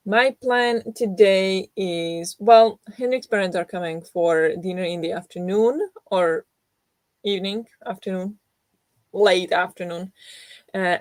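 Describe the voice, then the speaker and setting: A young woman who has just woken up talks rambling and unhurried to her viewers, full of fillers and restarts. Her voice sits a little low and sounds groggy. The room sound is dry and close. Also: no echo. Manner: rambling, self-deprecating, many fillers and restarts. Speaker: book vlogger hosting a reading sprint. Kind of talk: casual chat to viewers.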